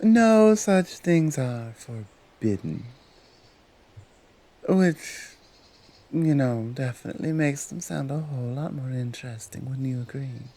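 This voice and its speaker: A man playing a calm, teasing Valkyrie who guides souls in an intimate ASMR roleplay, soft-spoken and coaxing with halting pauses. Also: mid-range voice. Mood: flirtatious, teasing.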